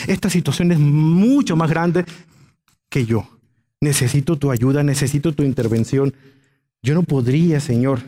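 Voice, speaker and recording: A man's voice: fairly high pitch, warm tone. A man preaching a Bible-teaching sermon as a pastor, emphatic and illustrating his points with everyday examples. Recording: long hall reverb.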